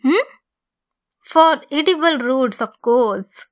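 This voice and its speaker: Female centaur for ASMR roleplay, played by a woman: possessive yet caring, lightly teasing, higher-pitched, very unhurried delivery. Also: lively intonation. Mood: calm, instructive. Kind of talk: ASMR roleplay, scripted monologue.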